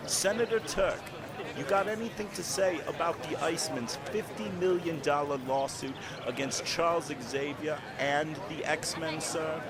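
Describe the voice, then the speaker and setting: Actor playing male newsman, raised pitch, pushy, insistent, motion comic drama, loud crowd. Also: a little slow, natural voice. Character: pushy male news reporter shouting questions.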